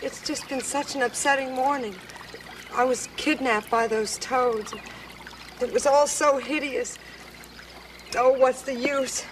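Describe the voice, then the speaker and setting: A woman's voice: high voice, fairly even intonation, slow pace, long pauses. A woman playing a tiny young fairy-tale heroine, polite and earnest, speaking in theatrical exclamations on a noisy soundtrack. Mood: apologetic, sorrowful. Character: tiny pretty young girl, polite, plucky, distressed heroine.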